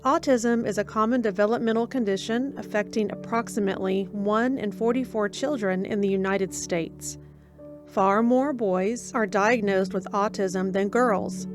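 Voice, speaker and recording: A woman reading scripted documentary narration in a measured, even way with slow pauses. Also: mid-range voice.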